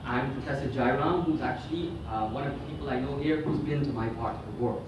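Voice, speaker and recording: A man's voice: medium pitch, noisy background. Middle-aged guy from guyana lecturing, lots of ums, echoey hall, mic kinda far off. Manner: halting, many ums and restarts. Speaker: guest lecturer. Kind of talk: conference lecture. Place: hotel conference hall.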